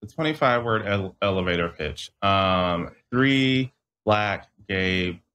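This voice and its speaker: Guest author, young man, deep voice, laid-back, restarts often, from Michigan. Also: slow, clear, resonant tone. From southeastern Michigan. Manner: relaxed, restarts often, laughs at himself. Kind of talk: casual interview talk.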